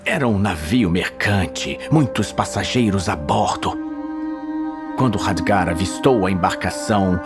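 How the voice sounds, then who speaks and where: A man's voice: sits low, lively intonation, unhurried. A man with a Brazilian accent reading a dramatic scripted fantasy story as narrator. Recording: music bed behind voice.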